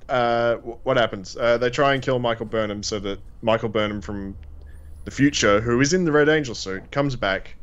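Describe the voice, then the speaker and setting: A man's voice: mid-range voice, normal speed, clean tone, highs cut off. Chatty Australian man, rambling podcast host. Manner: rambling, many fillers and restarts. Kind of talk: casual chat.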